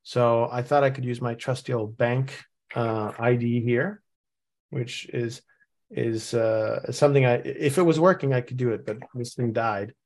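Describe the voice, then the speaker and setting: A man's voice: medium pitch, fairly even intonation, unhurried, darkish tone. Male podcast host, mid-range voice, casual and musing, reads notes aloud. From Norway. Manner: thinks aloud, reading and musing with many restarts. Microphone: remote call setup.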